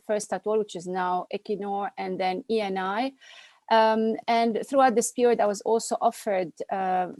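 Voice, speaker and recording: A woman's voice: mid-range voice, darkish tone, no echo. A middle-aged woman from Lebanon, a company founder telling her career story as an interview guest in an earnest, thoughtful way with many hesitations. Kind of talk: answering interview questions, reflective storytelling.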